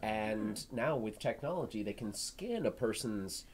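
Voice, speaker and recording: A man chatting casually as a panelist on a live stream, with a jokey, rambling way of talking and animated asides. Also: on the low side, a little slow, crowd noise. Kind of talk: casual chat.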